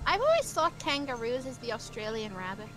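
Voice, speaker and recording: A woman's voice: fairly high pitch, very animated, a little fast. A woman chatting casually and playfully while joining in on a game stream.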